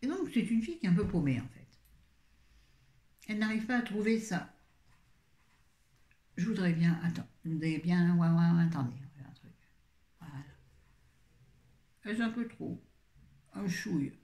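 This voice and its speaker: A woman's voice: fairly low pitch, expressive, moderate pace, pauses often, warm tone. A woman chatting casually about books to her viewers, rambling and often interrupting herself. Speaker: book vlogger. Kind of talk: casual book review chat. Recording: roomy echo.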